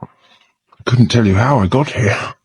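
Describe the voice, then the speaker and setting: An actor playing a gallant but shaken young gentleman, speaking haltingly and emotionally with well-bred politeness. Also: medium pitch, slightly rough, dry recording, poor audio. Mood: dazed, shaken.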